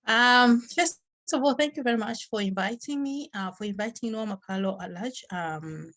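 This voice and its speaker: A woman from Zambia with a Zambian accent gives unscripted interview answers as a charity founder, haltingly, often repeating and rephrasing. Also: fairly high pitch, fairly bright tone.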